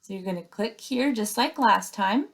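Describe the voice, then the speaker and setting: A woman teacher reading aloud and giving step-by-step instructions to young pupils in an expressive, emphatic way. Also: mid-range voice, moderate pace, vocal fry.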